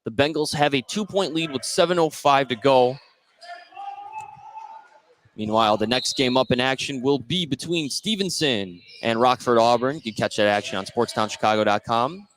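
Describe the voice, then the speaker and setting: A man doing live basketball play-by-play as the announcer, energetic and lifting his voice on scoring plays. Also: medium pitch, normal speed. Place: indoor gym at basketball tournament. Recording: noisy gym ambience behind voice. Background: gym ambience.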